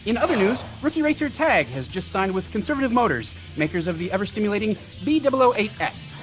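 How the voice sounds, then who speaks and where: A man's voice: high-pitched, big pitch swings, quick pace, faint music behind. An actor playing an earnest, prim male race announcer in a comic video game, polite and a little pedantic. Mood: upbeat announcing. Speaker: play-by-play announcer. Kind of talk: comic sports commentary.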